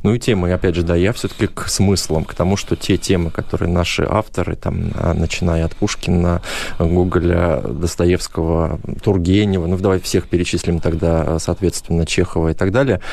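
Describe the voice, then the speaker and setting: A man's voice: low voice, little pitch movement, a little fast, creaky, darkish tone. A male radio host speaking in a rambling, enumerating way. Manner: rambling, listing. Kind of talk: radio talk.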